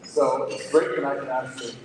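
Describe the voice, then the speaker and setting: Man, Swedish accent, earnest panelist, flat delivery, distant echoey hall recording. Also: mid-range voice, brisk, a little hiss or hum. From Sweden. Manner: earnest, hesitant with fillers. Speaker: company sustainability executive, panelist. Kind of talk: panel discussion on stage.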